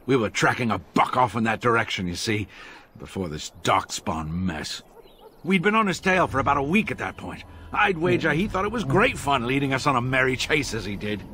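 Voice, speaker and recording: An actor voicing a cocky, chatty rustic hunter telling a dramatic tale in a video game. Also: male voice, mid-range voice, very animated, brisk, pushed and bright, a little hiss or hum. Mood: storytelling.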